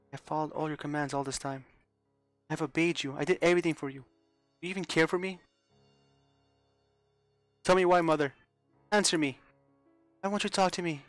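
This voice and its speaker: A man's voice: a little high, no echo, voice pushed up, natural voice. A man voicing a desperate, unhinged young woman who pleads and stammers through repeated restarts. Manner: pleading, sobbing, stumbling restarts.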